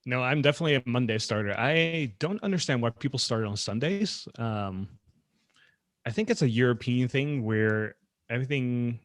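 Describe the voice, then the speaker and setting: A man's voice: medium pitch, lively intonation, normal speed, dry recording, studio quality. A man from the Netherlands co-hosting a podcast, chatting casually with plenty of fillers. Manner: conversational, loose, with many fillers. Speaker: podcast co-host.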